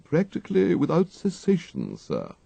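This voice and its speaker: A man voicing an unflappable English gentleman's manservant, deferential and deadpan, with formal, measured phrasing. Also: fairly high pitch, gravelly, husky, no room sound, pitched above the performer's own voice, exaggerated voice. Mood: deferential, composed.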